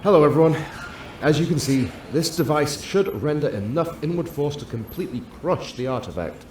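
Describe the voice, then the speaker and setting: An actor playing an earnest, curious male scientist on a starship, speaking in formal, academic language with a husky voice. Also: fairly high pitch, expressive, darkish tone, slight background noise, natural voice. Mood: reluctant, protesting. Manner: formal, academic, measured wording. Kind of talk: sci-fi audio drama acting.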